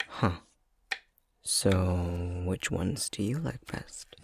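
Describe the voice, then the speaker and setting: A young man playing a clumsy, self-conscious fox-shifter boyfriend in an intimate ASMR roleplay, speaking hesitantly with a soft, breathy, gravelly voice. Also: low-pitched, drawn-out words, background noise, exaggerated voice. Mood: sheepish, teasing. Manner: hesitant, stammering, self-deprecating.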